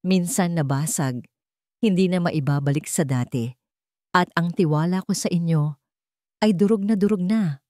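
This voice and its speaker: A steadfast young woman who was wronged, played by a female storyteller, speaks with a cold and measured firmness. Her voice sits slightly low, in the dry sound of a narrated drama story. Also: warm tone, no echo, natural voice. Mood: firm, hurt. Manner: firm, measured, resolute.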